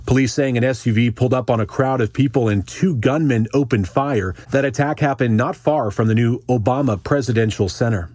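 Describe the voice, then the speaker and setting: An American man reading a scripted radio news bulletin as anchor, with a calm, measured, authoritative yet conversational delivery. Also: mid-range voice, husky, no echo, studio quality.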